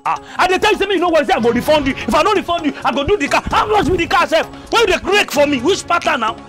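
A man with a Nigerian accent playing a flustered, pleading mechanic in a comedy skit, excitable and repeating himself. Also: extremely high pitch, racing. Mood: agitated. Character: flustered, pleading male mechanic caught in trouble. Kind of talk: comedy skit acting in Pidgin and Yoruba. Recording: music over the dialogue. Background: music.

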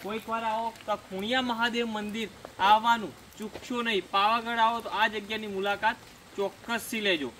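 A man's voice: high voice, steady tone, brisk, a little hiss or hum. A young man walking through a monsoon forest, guiding viewers along a trail and often calling them his friends. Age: young adult. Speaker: travel vlogger. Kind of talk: walking travel vlog. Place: outdoors in monsoon forest by a stream. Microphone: handheld phone camera, close. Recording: outdoor phone audio under loud music. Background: loud background music.

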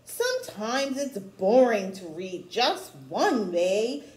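A middle-aged woman reading a storybook aloud to young children, with chatty asides, in an expressive, encouraging way. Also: fairly high pitch. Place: small room at home, indoors. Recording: echoey small room.

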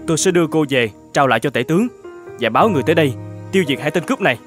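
A gallant young fairy-tale hero, with a voice a little on the high side. He is earnest and reassuring, brave without bluster. Faint music plays behind him. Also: expressive, very fast, a bit bright. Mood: tender, resolute. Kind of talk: dubbed fairy-tale cartoon dialogue.

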